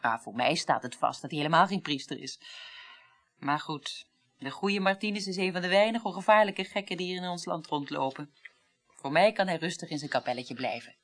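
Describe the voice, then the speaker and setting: A woman's voice: on the low side, brisk, natural voice. An actress playing a detached, wry female former reporter in a radio play, speaking articulately and dryly. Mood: musing, unconcerned. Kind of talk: 1980s Dutch radio play acting.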